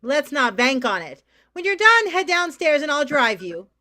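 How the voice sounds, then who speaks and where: A woman's voice: high-pitched, big pitch swings, normal speed, bright, forceful tone, full character voice. A woman playing an anxious, coaxing mother in a staged reading of a drama, fussing and placating. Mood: gently nagging. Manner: pleading, fussing.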